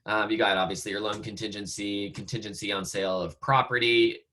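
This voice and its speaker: Hoarse man giving an online real estate lesson. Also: fairly low pitch, flat delivery, a little fast, sharp tone. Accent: American. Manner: conversational, many fillers and restarts. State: slightly hoarse, losing his voice. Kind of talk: online training talk. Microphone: video call.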